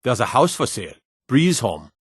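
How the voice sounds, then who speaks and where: A man voicing a gruff, wary city guard in a fantasy video game, stern, clipped and world-weary. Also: medium pitch, very animated, fairly quick, dry recording, clean studio sound. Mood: plain, matter-of-fact. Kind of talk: video game character dialogue.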